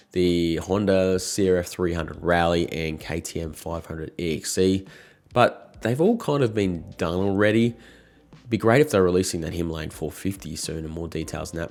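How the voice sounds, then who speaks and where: A man in his thirties, croaky from a cold, chatting casually and frankly to camera as a motorcycle vlogger. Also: low voice, a little mellow.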